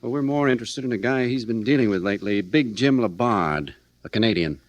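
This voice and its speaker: An actor playing a businesslike, matter-of-fact federal narcotics agent in a 1940s radio crime drama. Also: male voice, on the low side, fairly quick, no echo, lightly acted.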